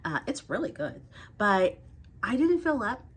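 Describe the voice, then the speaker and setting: A woman's voice: mid-range voice, very animated, a little slow, slightly rough. Congested woman chatting to viewers. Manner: chatty, talks straight to her viewers. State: congested, coughing from a cold. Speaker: vlogger. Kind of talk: casual weight loss update.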